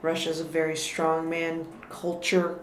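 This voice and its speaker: A woman's voice: low-pitched, monotone, measured pace. A woman guest in a casual political conversation, thoughtful and careful with her words, often restarting her sentences. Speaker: podcast guest. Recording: echoey room with faint noise.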